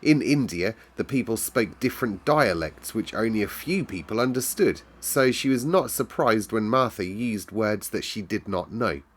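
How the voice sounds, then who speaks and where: A man narrating a classic children's story in an expressive read-aloud style. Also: on the low side, normal speed, few pauses, husky. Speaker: storyteller, host.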